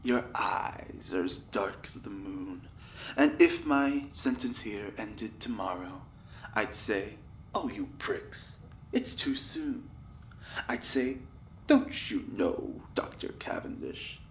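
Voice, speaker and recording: A man's voice: lowish voice, expressive, slow pace, slight background noise, poor audio. An actor playing a cheeky, flirtatious male test subject in a comedic audio drama, teasing and melodramatic in his delivery. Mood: melodramatic wooing.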